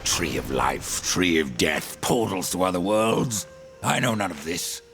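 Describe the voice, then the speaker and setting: An actor playing a grim, menacing undead warrior in a video game, speaking in a terse, stern, distrustful way. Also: male voice, low voice, sing-song, unhurried, fairly bright tone, faint music behind, heavily acted. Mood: brooding, frustrated.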